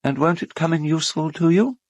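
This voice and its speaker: An actor with a British accent playing a suave, gossipy, teasing gentleman in a radio drama, theatrical and familiar. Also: fairly high pitch, brisk, dry recording, muffled recording, lightly acted.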